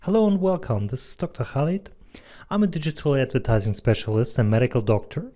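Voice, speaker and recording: A man in his thirties with a German accent, giving an earnest, measured presentation as a doctor and advertising consultant. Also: mid-range voice, animated, mellow. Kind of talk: scripted presentation voice-over.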